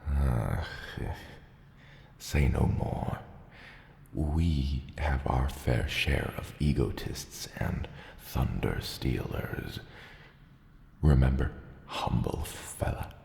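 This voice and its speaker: A fatherly old mountain dragon , acted by a man, speaks in a dark, mellow, gravelly murmur. He is a patient, gentle mentor in an ASMR roleplay, choosing formal words with small hesitations. His voice rings in a long, cavernous reverb. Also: full character voice. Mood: wry, reassuring. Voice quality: gravelly, very deep. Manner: hesitant, measured, formal wording with soft asides. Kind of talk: ASMR roleplay, soft slow scripted monologue. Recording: roomy long cave-like reverb, faint noise.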